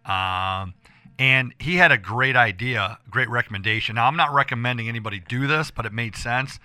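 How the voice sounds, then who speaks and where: An American man hosting a casual radio show with a hoarse voice, talking in a rambling, emphatic way and repeating himself as he addresses listeners. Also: mid-range voice, normal speed, pushed and bright, dry recording. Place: radio studio.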